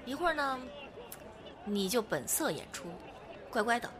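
A woman's voice: fairly high pitch, sing-song, normal speed, crowd noise, background noise. A woman acting a confident, playful, reassuring woman in her thirties in a Chinese audio drama. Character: lively, confident woman of thirty-three devoted to her girlfriend.

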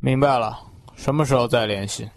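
A man's voice: mid-range voice, fairly quick, gravelly, dry recording, natural voice. A man playing a stern, worried middle-aged father in a radio drama, speaking with curt authority. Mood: stern, concerned. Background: music.

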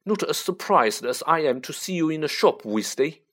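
A man's voice: on the high side, expressive, moderate pace, a bit bright, voice pushed up. Figure: a man doing a sneering, arrogant boy. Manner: retorting, scornful. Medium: audiobook.

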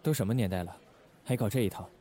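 A man playing a calm, shrewd secret-service station chief with understated authority in a radio drama. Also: fairly low pitch, fast, natural voice. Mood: composed.

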